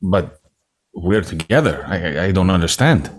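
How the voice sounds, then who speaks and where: Man reading hesitant, deep-voiced reluctant male escort. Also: lively intonation, normal speed. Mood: flustered, protesting. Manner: hesitant, stammering. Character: flustered, reluctant male escort with hurt pride. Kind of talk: screenplay table read.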